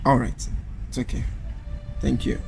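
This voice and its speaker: A man's voice: a little slow, darkish tone, a little hiss or hum, natural voice. A Nigerian man acting a polite, conflicted government officer in a radio drama, speaking hesitantly and deferentially. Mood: affectionate. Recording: music behind the voice.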